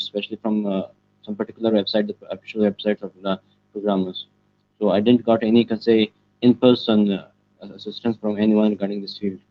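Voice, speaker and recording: A teenage boy from Pakistan, a native Pashto speaker, answering interview questions over a call, halting and filling pauses as he goes. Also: on the low side, muffled recording. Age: teens. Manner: halting, many fillers and restarts. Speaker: guest, student web developer.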